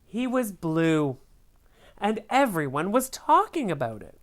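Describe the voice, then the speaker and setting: A woman reading a children's picture book aloud in a theatrical, expressive way, speaking directly to young viewers. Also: mid-range voice, takes it slowly.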